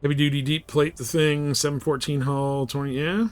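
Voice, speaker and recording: A man's voice: fairly high pitch, steady tone, normal speed. Man casually chatting on a game stream. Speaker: live streamer. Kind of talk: casual gaming stream chat.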